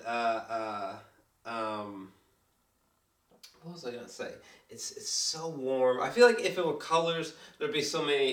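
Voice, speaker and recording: A man giving a casual, unscripted vlog review as an excitable, sweary movie fan who rambles, repeats himself and trails off mid-thought. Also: fairly high pitch. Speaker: amateur movie reviewer vlogger.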